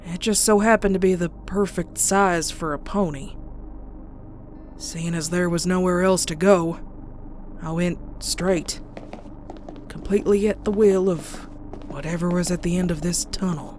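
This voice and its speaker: A woman acts a young country pony from a cartoon horror comic. She narrates slowly and hushed, folksy and full of suspense, over clear background music. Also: lowish voice, natural voice. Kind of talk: dramatic first-person horror narration.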